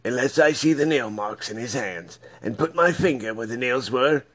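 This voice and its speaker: A man's voice: medium pitch, expressive, moderate pace, fairly bright tone, pitched above the performer's own voice. A man voicing a doubting, insistent male disciple in a dramatised Bible reading. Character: Thomas, doubting male disciple, stubborn and sceptical.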